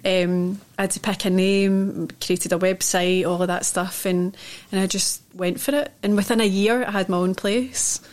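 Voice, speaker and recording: A talkative Scottish woman sits in as a guest and spins long, winding stories. Her voice is mid-pitched for a woman, with a thick accent and a loose, chatty delivery. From Scotland. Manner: chatty, rambling storyteller. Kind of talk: casual chat.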